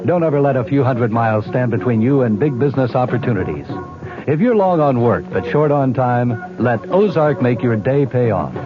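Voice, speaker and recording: A man reading a 1970s radio airline commercial in a brisk, upbeat sales voice. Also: mid-range voice, lively intonation. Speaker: ad announcer. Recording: dull old radio broadcast with music.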